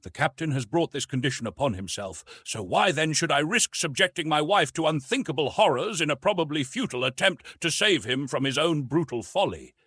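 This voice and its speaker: A man voicing a young English lord, a formal and protective gentleman husband, in an audiobook story. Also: on the high side, lively intonation, fairly quick, few pauses, breathy edge, dry recording, clean studio sound, voice pushed up. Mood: earnest.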